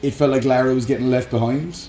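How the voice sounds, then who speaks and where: Male podcast host, medium pitch, keen and opinionated, casual and sweary, roomy sound with faint music behind. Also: steady tone, fast talker, mellow. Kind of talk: casual chat about games and films.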